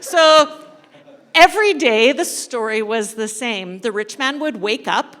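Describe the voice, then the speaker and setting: In a big reverberant hall, a woman with a high voice tells a story to a live group. She takes her time, drawing out phrases with playful emphasis and leaving pauses as she goes. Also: lively intonation, a bit bright. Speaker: church storyteller leading a live dramatic reading. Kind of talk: animated storytelling to a live audience, with asides to participants. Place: large indoor church room.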